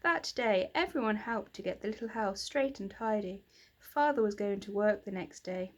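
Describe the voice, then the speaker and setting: A woman reading a children's story aloud in an expressive storytelling manner. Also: medium pitch, normal speed. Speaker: reader of children's stories. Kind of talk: expressive scripted storybook narration.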